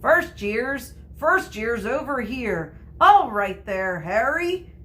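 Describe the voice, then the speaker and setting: A woman voicing a big, hairy, hearty giant man from a storybook, calling out to children in drawn-out shouts. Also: on the high side, big pitch swings, pitched above the performer's own voice, exaggerated voice.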